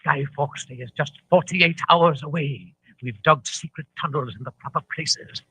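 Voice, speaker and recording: An actor playing an unhinged escaped asylum inmate and fanatical plotter in a feverish, grandiose 1940s radio drama style. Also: male voice, fairly high pitch, normal speed, sharp tone, breathy edge, exaggerated voice. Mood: gloating, triumphant. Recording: muffled old 1940s radio recording.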